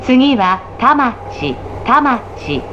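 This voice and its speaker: A woman reading a scripted train announcement, polite, formal and clearly enunciated. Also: very animated, extremely slow, lo-fi sound. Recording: clear train noise throughout. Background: rumble of a moving train carriage.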